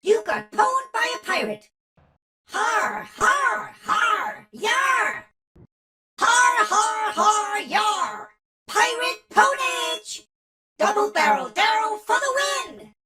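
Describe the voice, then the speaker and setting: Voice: very high voice, expressive, very slow, heavily acted. An actor voicing a boisterous, boastful robot for a video game, with theatrical exclamations. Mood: jolly, rowdy. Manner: theatrical pirate talk, exclamatory shouting. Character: boisterous robot pirate in a barrel, cartoonish braggart. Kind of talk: video game character voice lines.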